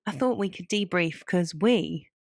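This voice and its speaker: Young woman, lower-pitched voice, informal podcast chat, chatty, dry close recording. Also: unhurried, dry recording. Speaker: podcast co-host. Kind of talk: casual chat.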